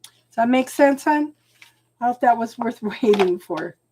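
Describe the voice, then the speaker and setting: A woman doing a live tarot reading at home, talking slowly and soothingly, often checking in with "okay?", and clearing her throat as she loses her voice. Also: a little high, expressive, dark tone, slight background noise. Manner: slow, soothing, keeps adding "okay?". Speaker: tarot reader.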